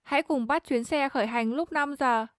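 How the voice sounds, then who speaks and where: A woman reads short translated lines in a plain, even way. Her voice sits slightly high. The sound is dry and close to the mic. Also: brisk, no echo. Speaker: translation reader. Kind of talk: reading Vietnamese translations.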